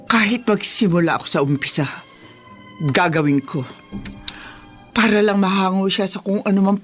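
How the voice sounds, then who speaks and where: An actress playing a stern, devoted older mother with a Filipino accent in a Tagalog radio drama, emphatic and deliberate. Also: on the low side, natural voice. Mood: grave, resolute.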